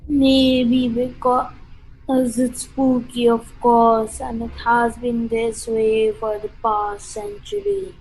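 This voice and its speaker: A woman's voice: fairly high pitch, takes it slowly, a little mellow, slightly rough, full character voice, low-quality recording. A woman playing an unctuous, eerie castle servant, menacingly polite, in a radio play. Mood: ominous. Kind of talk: amateur radio play acting.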